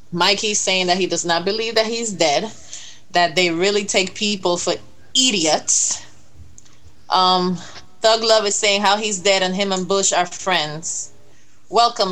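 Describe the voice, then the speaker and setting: A woman's voice: fairly low pitch, a bit bright. Puerto Rican woman co-host reading comments. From Puerto Rico. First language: Spanish. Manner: reads out chat comments, laughs easily.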